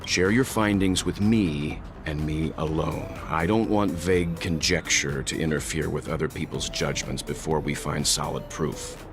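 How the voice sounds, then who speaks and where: An actor voicing a stern, guarded veteran of a space crew in a video game, formal and deliberate. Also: male voice, very deep voice. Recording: music clearly behind the voice.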